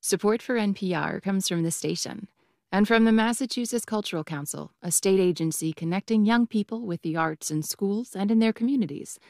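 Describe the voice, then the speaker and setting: A woman announcer reading sponsor credits in a crisp, measured way. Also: medium pitch, a little mellow, dry recording, clean studio sound.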